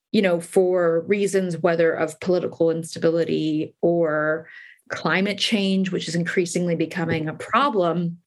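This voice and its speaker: A woman with a Californian accent, interviewing a guest in an earnest, thoughtful way with many fillers. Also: fairly low pitch.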